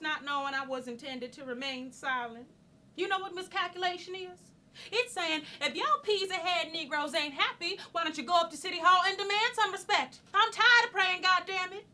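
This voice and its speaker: A woman's voice: high voice, pushed and bright, a little hiss or hum, heavily acted. An actress playing a proud, outspoken, worldly radical woman from a small Southern town, telling her story emphatically in a stage monologue. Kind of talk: theatrical monologue.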